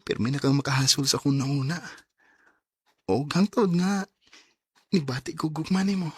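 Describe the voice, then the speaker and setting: An actor playing a shy, smitten young man courting online in a radio drama, with a Cebuano Bisaya accent and a husky voice. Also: a little high, fairly even intonation, normal speed, darkish tone, no echo. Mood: tender, nervous.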